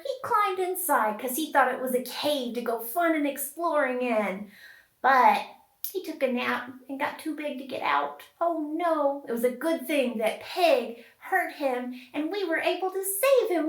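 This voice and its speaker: Lady doing kids puppet storytime, high voice, slow n sing-songy, bit echoey, asks the kids lots of questions. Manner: exaggerated, sing-song, asks questions with dramatic gasps and pauses. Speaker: children's storytime host with puppets. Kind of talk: interactive storytelling to young children.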